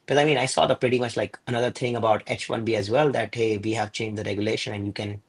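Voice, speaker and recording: A man's voice: fairly low pitch, steady tone, fast talker, slightly rough. A man hosting a webinar interview on a video call, talking casually and hesitantly with many fillers. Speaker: host.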